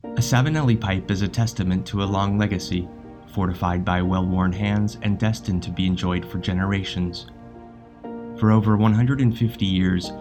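A man with a deep voice reading a polished, scripted advertisement. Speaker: ad announcer. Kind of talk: scripted ad read. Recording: read over music.

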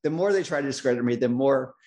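A middle-aged man hosting a health interview, speaking emphatically and confidently, with restarts and slowed stressed phrases. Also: medium pitch, a little mellow. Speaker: host. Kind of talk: interview host, long introduction.

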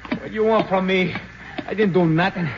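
An actor playing a cornered, frightened young male fugitive with a Spanish accent in a 1950s radio drama, shouting high and desperate. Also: steady tone, fairly quick, close to an everyday voice. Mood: defiant. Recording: muffled old radio broadcast with music.

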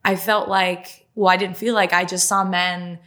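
A woman's voice: fairly low pitch, level tone, fairly bright tone. Chatty woman with French Canadian accent. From Quebec, Canada. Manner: chatty and quick, heavy use of "like" fillers. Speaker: journalist and author, guest. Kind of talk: casual interview chat.

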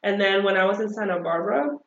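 A woman as an interview guest, talking in an upbeat, animated way with frequent fillers and laughter. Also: medium pitch, fast. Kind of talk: casual conversational interview. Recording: roomy dull lo-fi audio.

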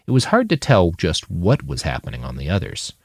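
Guy reading a story audiobook style, really low voice, super up and down, dry sound. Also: huge pitch swings, fairly quick, vocal fry, a little mellow, husky, dry recording. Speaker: author reading his fiction. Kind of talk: dramatic audiobook narration.

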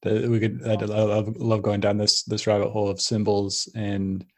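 A young man with a somewhat low voice hosts a relaxed podcast conversation. He drifts from thought to thought, restarting sentences and circling back as ideas come to him. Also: moderate pace. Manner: rambling, many restarts and tangents. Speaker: podcast host. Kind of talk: casual conversational interview.

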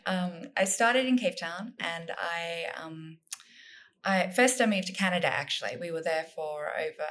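A woman from South Africa, a guest author in a casual interview, thinking aloud with plenty of ums and restarts. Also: fairly low pitch. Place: home in Sydney.

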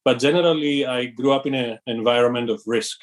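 A middle-aged man from Greece, a company founder being interviewed, speaking thoughtfully with pauses and restarts. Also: medium pitch, fairly even intonation. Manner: thoughtful, measured, with fillers and restarts. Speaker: company founder and CEO, guest. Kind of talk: interview conversation.

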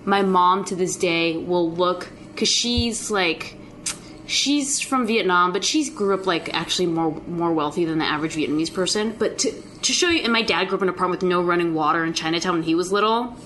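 A young woman, a TV actress, chatting casually as a guest, with quick laughing asides. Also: lowish voice, a bit bright, a little hiss or hum. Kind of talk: casual chat.

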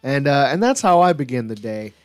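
A man's voice: medium pitch, very animated, fairly quick, clear, resonant tone, no room sound, studio quality. Jokey man hosting a sports podcast. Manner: jokey, enthusiastic storytelling. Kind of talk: casual sports chat.

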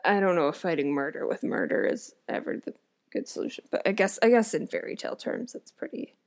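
A woman's voice: mid-range voice, animated, fairly quick. A woman, an actor and comedian, reading an old fairy tale aloud and breaking off into wry, joking comments. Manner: wry, amused, chatty commentary. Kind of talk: storytelling reading with joking asides.

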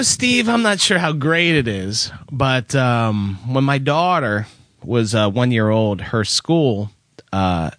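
A man's voice: lowish voice, fairly bright tone, dry recording, clean studio sound. A middle-aged man co-hosting a talk show, rambling through a story in casual chat, self-deprecating and laughing often, with a whiny, nasal voice. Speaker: podcast co-host.

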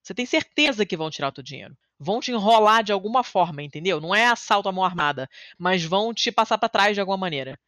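Woman chatting, lively and swearing a bit, dry close sound, pitch jumps way up when she gets excited. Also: fast talker, hardly any pauses, no echo. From Rio de Janeiro, Brazil. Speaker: podcast guest living in Italy. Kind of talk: casual conversation.

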